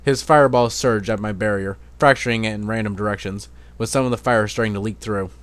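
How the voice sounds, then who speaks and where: A man's voice: fairly low pitch, fairly even intonation, fairly quick, smooth, no echo. A man narrating fantasy fan fiction as an amateur dramatic reading.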